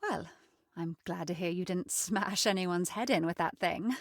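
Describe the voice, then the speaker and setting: A woman's voice: lowish voice, unhurried, dry recording, clean studio sound. A woman playing a refined, haughty young lady of a mansion in a game, speaking in a prim, wry, articulate way.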